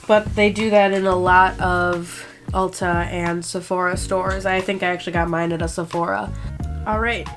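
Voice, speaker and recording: A woman's voice: on the low side, steady tone, moderate pace. A woman in her twenties with a stuffed-up cold voice, chatting casually and self-deprecatingly while doing her makeup. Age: 20s. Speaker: beauty vlogger. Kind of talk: casual makeup tutorial talk. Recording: music bed under the voice.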